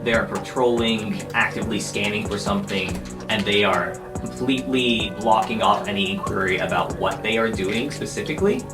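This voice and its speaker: A man's voice: fairly low pitch, normal speed, few pauses, recorded from a distance. Roomy-sounding male game master. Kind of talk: narrating a tabletop superhero game. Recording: roomy sound with music bed. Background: background music.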